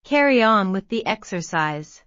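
A woman modelling English phrases for learners, repeating each one slowly and then word by word. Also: mid-range voice, animated, fairly bright tone, no echo. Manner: very slow, carefully enunciated. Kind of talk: slow drill reading, word by word. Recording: dull, slightly lo-fi sound.

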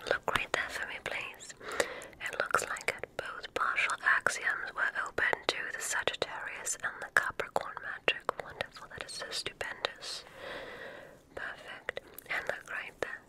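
A woman whispering an ASMR roleplay as a kindly witch examiner, giving soothing, deliberate instructions in a breathy voice. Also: rough recording.

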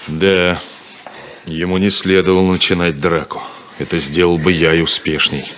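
An actor playing a gruff, bossy grown elder brother in a 1970s radio play, curt and commanding. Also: male voice, low voice, little pitch movement, normal speed, noisy background, close to an everyday voice. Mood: gruff, scolding.

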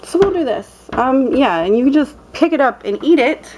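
A woman's voice: sits high, expressive, normal speed, a little mellow, slight background noise, rough recording. A young woman demonstrating a recipe in her dim home kitchen, chatty and playful, with lots of ums and cheerful exclamations. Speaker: cooking vlogger. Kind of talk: casual cooking demonstration. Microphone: camera held close, filming her hands.

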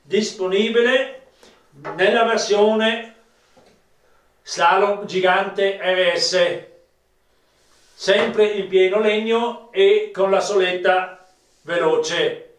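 A man in his fifties with a South Tyrolean accent and a high voice presents products slowly and plainly, halting now and then. The sound is roomy, as if picked up across a room. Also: fairly even intonation, far from the mic. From South Tyrol, Italy. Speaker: ski maker presenting own products. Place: small wood-panelled rooms of an old farmhouse.

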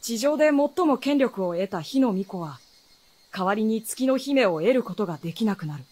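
A woman's voice: a little high, lively intonation, moderate pace, mellow. A woman playing a guarded, knowing adolescent boy in a Japanese fantasy radio drama, speaking in a boyish, matter-of-fact way. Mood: solemn, explaining.

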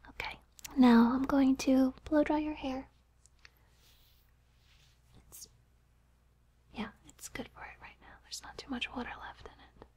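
A woman whispering softly and reassuringly in an ASMR hairdresser role play, recorded on binaural microphones. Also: sits high, steady tone, a little slow, long pauses, breathy edge. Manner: soft, gentle whispering, reassuring. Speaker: ASMR host playing a hairdresser. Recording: faint noise, clicks, close binaural mics.

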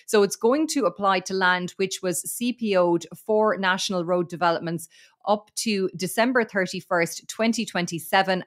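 An Irish woman reporting agricultural news on a podcast in a steady, detailed way with occasional fillers. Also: fairly low pitch.

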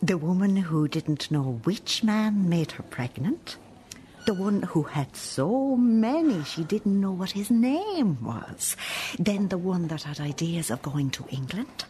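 An actress with an Irish accent playing a stern, bitter, defensive older nun in a radio play, sounding accusing and sanctimonious. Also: female voice, lowish voice, very animated, slow pace, warm tone, slight background noise. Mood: scornful. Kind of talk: radio play acting, monologue and dialogue.

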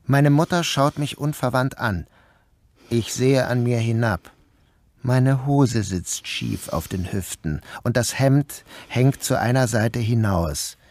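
An actor playing a troubled, dazed man who narrates his own day in a measured, literary radio drama style. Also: medium pitch, darkish tone, no echo. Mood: dazed, strained. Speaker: first-person narrator.

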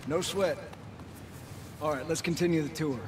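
A man playing a warm, folksy settlement man, someone's younger brother, with an American Southern accent in a video game drama. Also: a little high, steady tone, normal speed, noisy background, close to an everyday voice. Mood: easygoing. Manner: folksy, informal, swearing.

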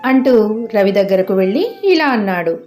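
A woman's voice: medium pitch, expressive, moderate pace, a little mellow. A woman narrating a scripted moral story for children as a storyteller. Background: faint music.

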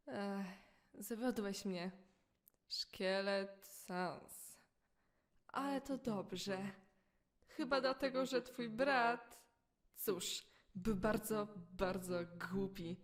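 A woman playing a mocking, sadistic demon child who possesses a girl, in an amateur comic dub, taunting with drawn-out words and outbursts. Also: medium pitch. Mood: cruel mockery.

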